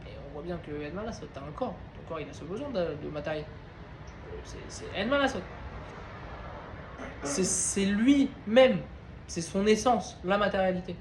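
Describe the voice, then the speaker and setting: A man with a notably high voice leads an informal Torah class, speaking conversationally and turning to his listeners with frequent questions. The recording has a roomy echo from the study hall and a faint bed of ambient noise behind him. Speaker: Torah teacher.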